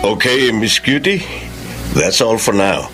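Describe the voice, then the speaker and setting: A low, animated Filipino boss. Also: male voice, slow pace, loud music behind the voice. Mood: formal, encouraging. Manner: formal, code-switching between English and Tagalog. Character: formal, approving male company boss hiring staff. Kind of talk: Filipino radio drama acting.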